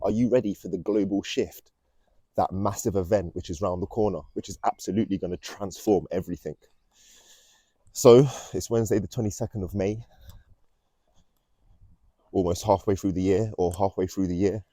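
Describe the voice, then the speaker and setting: Young male vlogger. Dark, relaxed tone, close dry sound, casual rambling delivery with an ominous edge. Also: sits low, normal speed, pauses often, dry recording. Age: young adult. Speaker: vlog host. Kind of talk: casual rambling monologue to camera. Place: outdoors, walking.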